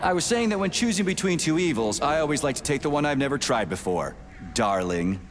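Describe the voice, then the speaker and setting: A man playing an exasperated, gruff soldier hero in a video game, speaking bluntly and sarcastically. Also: on the high side, very animated, brisk, noisy background. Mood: sarcastic. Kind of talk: video game character acting.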